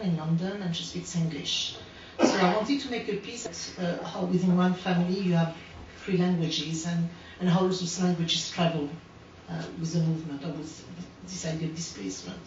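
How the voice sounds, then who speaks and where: A woman's voice: on the low side, a little hiss or hum. A woman, giving an unscripted artist talk, hesitant, with many fillers and restarts. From France. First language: French. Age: 40s. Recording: echoey room, distant mic, dull sound.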